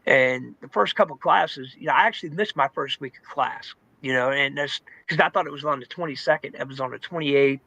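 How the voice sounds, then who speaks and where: A man's voice: mid-range voice, a little fast, hardly any pauses, bright, forceful tone, dry recording, poor audio. A man giving a personal testimony in an informal, candid, conversational storytelling way, saying "man", "dude" and "you know" often. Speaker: testimony guest in recovery.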